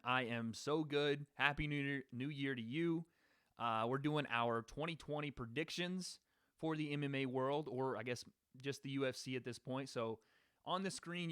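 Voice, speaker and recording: A man's voice: medium pitch, sharp tone, no echo, clean studio sound. A man hosting a live stream, giving his sports predictions in a casual, rambling way and greeting people in the chat. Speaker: podcast host.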